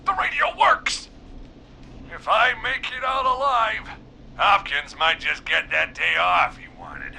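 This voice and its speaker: A man voicing an excitable, nervous newspaper editor in a video game, with a fussy, agitated, blustering manner. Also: high-pitched, slow, gravelly, a little hiss or hum, heavily acted. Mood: frantic, exasperated.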